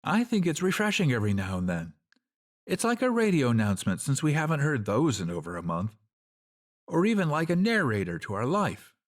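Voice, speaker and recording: Man playing easygoing survivor with lively pitch. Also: fairly quick, natural voice. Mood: placating. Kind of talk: radio play acting.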